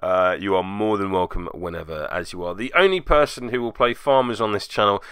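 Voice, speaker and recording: A man's voice: fairly low pitch, brisk, a bit bright, smooth, dry recording, studio quality. A man giving wry, self-deprecating voice-over commentary on a tabletop game, with joking asides, as its host.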